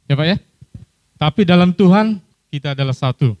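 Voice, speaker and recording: A man with a Batak accent giving friendly, halting announcements at a church service. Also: fairly high pitch, lively intonation, highs cut off.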